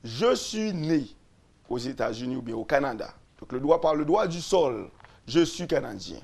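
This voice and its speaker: A man, a lawyer and radio guest, explaining constitutional articles slowly, repeating and restarting phrases. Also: medium pitch, sing-song, creaky, a little mellow, husky. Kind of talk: explaining constitutional law on a radio talk show.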